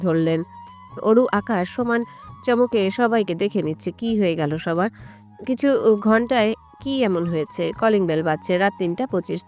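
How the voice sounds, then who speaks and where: Female storyteller, medium pitch, soft music. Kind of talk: scripted narration of a romantic audio story.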